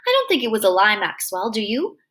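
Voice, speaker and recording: A woman voicing a compassionate, sorrowful female doctor, gentle and earnest, in an audiobook. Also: mid-range voice, wildly swooping pitch, a little fast. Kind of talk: acted audiobook dialogue.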